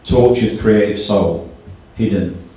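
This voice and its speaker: Male event host, low register, speeches and poetry readings, recorded at a distance. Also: takes it slowly, warm tone. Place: indoor live launch event. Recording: very echoey hall, far from mic.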